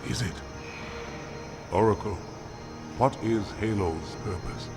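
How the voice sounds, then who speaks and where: An actor playing a grave, noble alien warrior in a video game, solemn, measured and authoritative. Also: male voice, lowish voice. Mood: solemn questioning. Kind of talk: dramatic video game acting. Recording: loud music behind the voice.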